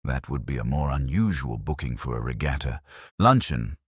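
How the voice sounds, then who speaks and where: A man voicing a calm, courteous male police inspector in a mystery story, mild, diplomatic and dryly understated. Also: rumbling low, moderate pace, slightly rough, dry recording, studio quality, full character voice. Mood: dryly amused.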